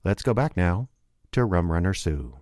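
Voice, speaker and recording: Deep-voiced man rambling through sponsor plugs. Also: lively intonation, moderate pace, darkish tone, no echo, studio quality. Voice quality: rambling, with frequent fillers. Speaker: show producer and host. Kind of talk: casual promotional chat.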